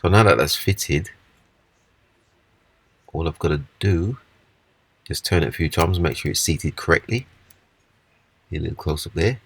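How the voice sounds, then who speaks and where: A man with a husky voice giving a casual, unscripted, step-by-step walkthrough of hands-on repair work. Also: extremely low pitch, moderate pace, long pauses, no echo. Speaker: repair demonstrator.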